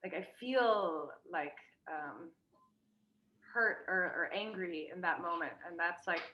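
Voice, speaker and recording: A woman's voice: on the low side, slow pace, pushed and bright, a little hiss or hum, rough recording. A young woman, a guest in a casual interview, talking hesitantly with many fillers and restarts. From United States.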